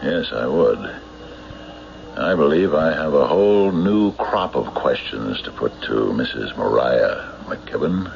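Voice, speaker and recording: A probing american sheriff, deep voice that moves around, old radio drama, muffled. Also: male voice, expressive, vocal fry, very noisy, full character voice. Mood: probing, suspicious. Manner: deliberate, probing questioning with measured pauses. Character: suspicious, probing male county sheriff. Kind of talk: radio mystery drama acting.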